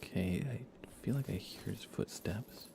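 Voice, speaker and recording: Low, gravelly man streaming softly. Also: normal speed, background noise. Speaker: video game streamer. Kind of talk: soft-spoken ASMR live game commentary.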